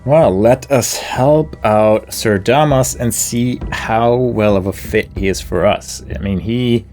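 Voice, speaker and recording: Laid-back male gaming host, slow and low, faint music under. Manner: relaxed, slow-talking, reads game text aloud. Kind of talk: casual gameplay commentary.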